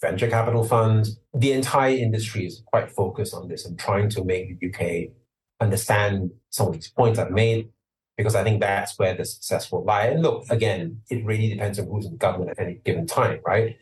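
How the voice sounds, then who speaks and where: Singapore-born guy giving long measured answers, lowish voice, sounds a bit far off the mic. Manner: measured, explanatory, emphatic at times. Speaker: lawyer, interview guest. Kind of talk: interview answers.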